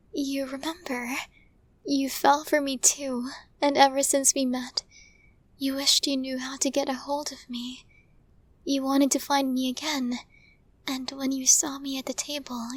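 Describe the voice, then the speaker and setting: A woman performs a possessive yandere mafia lady in an intimate ASMR roleplay. Her voice is fairly high and breathy, with very slow, deliberate and formal phrasing. She is lovestruck and intense, recorded close and dry. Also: no room sound. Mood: distraught. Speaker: voice actor in romantic audio roleplay.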